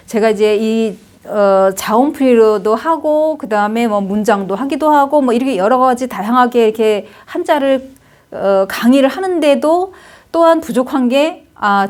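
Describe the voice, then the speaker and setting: A woman's voice: on the high side, a little mellow. A middle-aged woman giving a casual spoken lecture on Chinese characters, chatty, with many fillers and tag questions. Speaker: Hanja lecturer.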